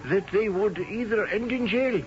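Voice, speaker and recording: An actor playing a deferential, solemn Indian houseboy with an Indian accent and ornate, formal phrasing in a radio drama. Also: male voice, high voice, normal speed, close to an everyday voice. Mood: wry, storytelling. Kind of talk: radio drama acting and narrating in character. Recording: dull lo-fi old radio, music behind.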